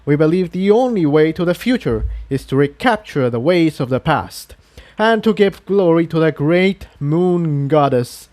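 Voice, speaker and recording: A man's voice: a little high, lively intonation, unhurried, darkish tone, voice pushed up. A man voicing a devout, courteous pegasus townsman who explains things earnestly and formally in a fantasy audiobook. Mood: earnest, proud. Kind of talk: acted dialogue in fan fiction audiobook.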